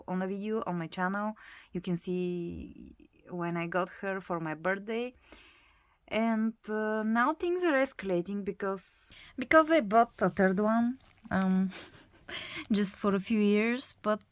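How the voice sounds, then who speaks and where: Slow, unhurried Bulgarian-accented woman. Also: mid-range voice, no room sound. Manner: hesitant, many "uh" fillers, chatty, with nervous little laughs. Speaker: doll collector vlogger. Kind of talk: casual hobby vlog narration.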